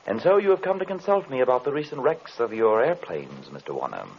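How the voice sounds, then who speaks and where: An actor playing a mysterious, courtly occult investigator, measured and theatrical, in old radio drama style. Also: male voice, mid-range voice, big pitch swings, husky. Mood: courteous, probing. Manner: measured, theatrical, rhetorical and formal. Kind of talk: 1930s-40s radio drama acting. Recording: muffled, hissy old radio recording.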